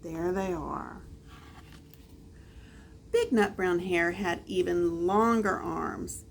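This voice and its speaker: A woman reading a picture book aloud to a small child, adding drawn-out, expressive asides. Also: fairly low pitch, vocal fry, slight background noise. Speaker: storyteller.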